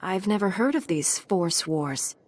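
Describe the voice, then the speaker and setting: A woman's voice: on the low side, expressive, a little slow. A woman playing a confident, eager young warrior apprentice in a video game. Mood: self-assured.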